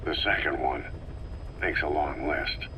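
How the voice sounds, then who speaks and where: An actor playing a gruff, skeptical soldier in a video game, answering in curt, low-key lines. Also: male voice, a little slow, far from the mic, noisy background. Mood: terse, skeptical. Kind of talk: dramatic video game dialogue.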